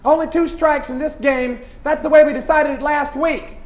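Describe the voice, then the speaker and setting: A reader doing a loud pushy little boy. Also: high voice, steady tone, moderate pace, muffled recording, a little hiss or hum, lightly acted. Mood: insistent. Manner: shouting, insistent. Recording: roomy, from off the mic at a live reading.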